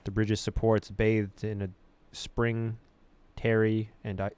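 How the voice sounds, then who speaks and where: A man reading a horror visual novel aloud as a gaming host, with expressive storytelling and casual delivery. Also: fairly low pitch, slow, clear, resonant tone.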